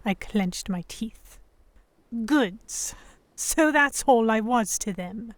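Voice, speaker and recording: A woman's voice: on the high side, expressive, takes it slowly, no room sound. A woman playing a defiant, sarcastic young Victorian English noblewoman held captive, narrating in formal first person for an audio drama. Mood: tense narration. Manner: sardonic, defiant, formal diction. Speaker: narrator and lead voice actor.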